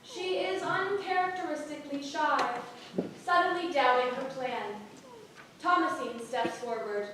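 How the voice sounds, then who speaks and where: A woman's voice: high voice, measured pace. A woman reading stage directions as narrator in a scripted staged play reading. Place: playhouse stage with live audience. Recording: distant mic, echoey hall, faint noise.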